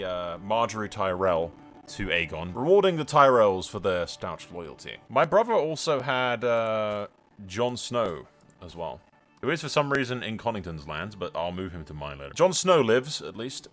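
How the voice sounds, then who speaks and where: Male gaming host, lowish voice, informal think-aloud narration of a roleplay playthrough, music underneath. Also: fairly bright tone. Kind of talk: casual narrated roleplay game commentary. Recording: music behind the voice.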